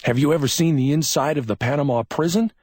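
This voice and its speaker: A man voicing a brusque, excitable male police officer who snaps out urgent reasoning. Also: on the high side, quick pace, dry recording, studio quality, pitched above the performer's own voice, lightly acted. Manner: snapping, urgent, scolding.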